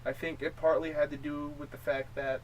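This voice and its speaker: A man's voice: fairly high pitch, flat delivery, a little fast. A man co-hosting a film review show, chatting casually and explaining his opinions. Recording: distant mic, faint room noise.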